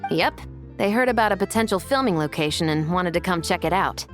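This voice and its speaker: A woman voicing a composed, no-nonsense young female officer in a video game, with a measured, businesslike manner. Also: on the low side, animated, faint music behind, natural voice. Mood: relaxed, friendly. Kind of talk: English video game voice acting.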